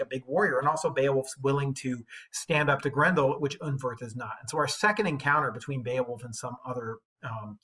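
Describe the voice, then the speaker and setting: A man giving a casual lesson as a literature teacher, informal and halting, with slangy asides. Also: medium pitch, a bit bright, husky. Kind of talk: casual explanatory lesson commentary.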